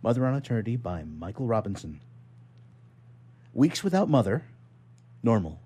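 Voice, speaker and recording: A man's voice: medium pitch, very animated, moderate pace, warm tone, slightly rough. A man with a classic radio voice, introducing and reading a short story. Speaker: repertory player reading a story. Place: radio studio.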